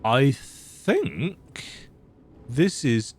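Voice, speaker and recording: A man with a croaky voice giving casual, rambling, self-deprecating commentary while he plays a video game. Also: medium pitch, very animated, extremely slow, soft background music. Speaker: let's play gamer.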